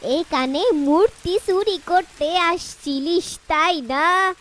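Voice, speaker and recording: A woman voicing the menacing ghost of a vengeful old village woman in an animated horror story, with drawn-out delivery. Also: very high-pitched, heavily acted. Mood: eerie, accusing.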